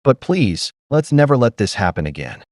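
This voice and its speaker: A man's voice: mid-range voice, big pitch swings, moderate pace, darkish tone, dry recording, clean studio sound, natural voice. A man voicing a devout, insecure young woman desperate to marry, speaking earnestly in a dramatic story. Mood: hurt, forgiving.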